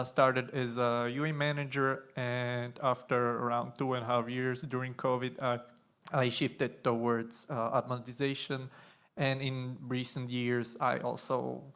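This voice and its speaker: A young man from Slovakia giving a conference talk on stage, nervous and halting, with many fillers and restarts. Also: medium pitch, little pitch movement, creaky, fairly bright tone, smooth. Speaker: conference speaker.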